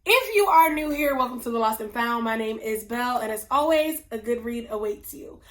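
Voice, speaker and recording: A woman hosting a book review vlog, losing her voice and sounding hoarse, gushing with slow drawn-out emphasis. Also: on the high side, lively intonation. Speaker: book reviewer, channel host. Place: indoors at home, whiteboard nearby.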